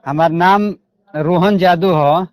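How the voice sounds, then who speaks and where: A man's voice: high voice, slow pace, no room sound. A man with a Bhojpuri accent speaking as a caller in a confrontational phone call, in an aggrieved, repetitive, coarse way.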